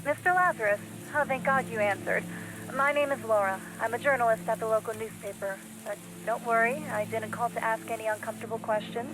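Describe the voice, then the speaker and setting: A woman's voice: on the high side, fairly even intonation, brisk, natural voice. Earnest, urgent woman journalist played by an actress, noisy backdrop. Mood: polite, anxious. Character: earnest, insistent woman journalist, worried for a friend. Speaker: supporting character in a video game. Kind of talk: scripted game voice acting.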